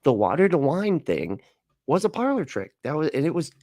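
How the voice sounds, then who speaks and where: A man's voice: fairly high pitch, very animated, moderate pace, darkish tone, no echo, studio quality. A man giving casual, rambling and argumentative reaction commentary as a livestream host.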